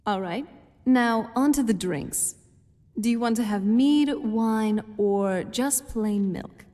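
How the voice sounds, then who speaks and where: An actress playing a young, wry, world-weary vampire companion in a fantasy game, speaking in an unhurried way. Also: female voice, fairly high pitch, mellow. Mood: wry, friendly. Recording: long hall reverb, music behind.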